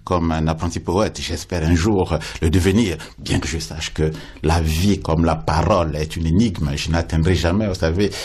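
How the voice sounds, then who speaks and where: A man with a very low, husky voice talks like a poet, slow and lyrical. He often repeats a word while he looks for the next, and reflects at length as a guest in a radio interview. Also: fairly even intonation, darkish tone.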